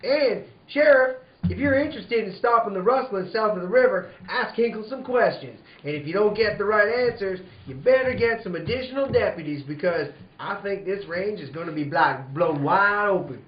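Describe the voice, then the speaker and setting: A man's voice: high-pitched, animated, normal speed, a little hiss or hum. A man playing a bold, cool-headed cowboy hero in a radio western, wry, folksy and unflappable. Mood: defiant. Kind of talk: 1940s radio western acting. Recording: roomy, dull lo-fi recording.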